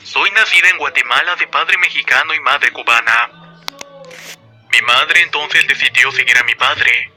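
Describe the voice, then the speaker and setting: Brief: male storyteller, very bright and sharp tone, steady measured reading, soft music underneath. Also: medium pitch, low-quality recording. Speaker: narrator. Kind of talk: scripted narration of a horror story.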